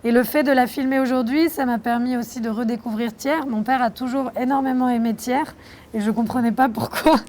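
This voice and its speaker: A young woman from France answering interview questions about her documentary, reflective and thoughtful, pausing often. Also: a little high, fairly even intonation, a little mellow, a little hiss or hum. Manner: reflective, thoughtful, with frequent hesitations. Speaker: documentary filmmaker being interviewed.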